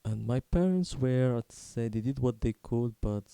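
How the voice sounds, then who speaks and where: Very dark, mellow voice of a young man. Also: mid-range voice, expressive, vocal fry, clean tone, no echo. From Morocco. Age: 20s. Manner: halting, candid, casually sweary. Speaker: video creator telling his life story. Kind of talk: unscripted personal storytelling to camera.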